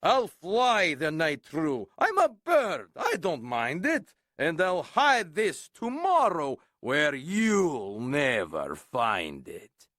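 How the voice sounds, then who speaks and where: A woman's voice: low voice, huge pitch swings, slow, hardly any pauses, a bit bright, no echo, studio quality, full character voice. A woman voicing a mighty male eagle who sneers and taunts, reading a storybook aloud. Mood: taunting.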